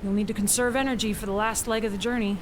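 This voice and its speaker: A woman's voice: medium pitch, fairly even intonation, fast, natural voice. A woman playing a firm, caring mountain guide in a family audio drama, direct and coaching. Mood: advising. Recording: clear background noise, outdoor ambience.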